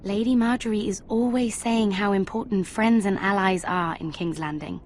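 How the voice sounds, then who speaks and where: A woman's voice: medium pitch, moderate pace, slight background noise, lightly acted. A woman voicing a sympathetic, eager young handmaiden in a video game drama, earnest and polite.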